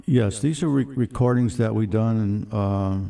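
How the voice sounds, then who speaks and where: An older man with a deep voice, a musician guest on a radio interview, talking haltingly with many pauses. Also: warm tone, far from the mic. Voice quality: deep, gravelly. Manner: halting, lots of "uh"s.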